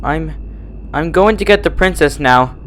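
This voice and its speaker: A man voicing a frightened, angry young female pony wizard in a horror story reading, yelling and pleading. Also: fairly high pitch, unhurried, a little hiss or hum, pitched above the performer's own voice. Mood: tearful defiance. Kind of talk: horror fan fiction reading.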